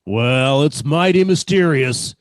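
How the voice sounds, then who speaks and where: A man's voice: on the high side, expressive, takes it slowly, no echo, studio quality. A man playing a suspicious state policeman in an old-time radio drama, a blunt and accusing interrogator. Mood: grudging.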